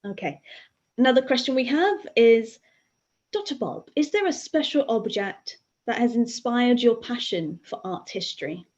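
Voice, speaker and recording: A woman's voice: fairly high pitch, lively intonation, moderate pace, a little mellow. A young woman hosting a livestream, chatty and gushing, with many "like" fillers. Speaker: livestream host. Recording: dull lo-fi sound.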